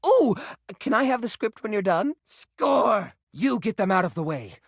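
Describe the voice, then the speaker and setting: A woman voicing an eager, bookish, nervous but brave healer in a video game, speaking in an earnest, excitable way. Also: mid-range voice, measured pace, creaky, no echo, studio quality, full character voice. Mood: excited. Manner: earnest, excitable, wholesome exclamations. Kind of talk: video game character acting, tabletop role-play.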